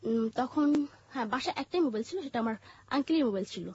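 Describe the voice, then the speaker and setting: A woman with a Bangladeshi accent telling her life story in an interview, haltingly, with pauses and repeated phrases. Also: a little high, expressive, darkish tone, husky, dry recording. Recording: muffled, dull lo-fi audio.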